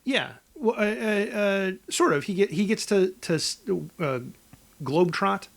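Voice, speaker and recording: Halting, higher-voiced man chatting. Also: lively intonation, fairly bright tone. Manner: halting, rambling delivery with false starts. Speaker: podcast co-host. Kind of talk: casual improvised movie pitch chat.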